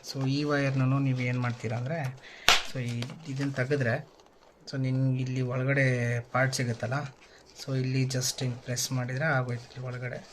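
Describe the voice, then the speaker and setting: A man's voice: mid-range voice, very noisy. A man giving a casual hands-on how-to demonstration, informally mixing in English words. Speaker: tech vlogger presenting a tutorial.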